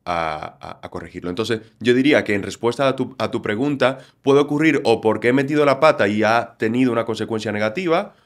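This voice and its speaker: Dominican guy, galician accent, lively podcast guest, mid pitch voice. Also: brisk, few pauses. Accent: Galician-tinged Spanish. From Dominican Republic. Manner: animated, explanatory, acts out quotes. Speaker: communication trainer, guest. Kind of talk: podcast interview.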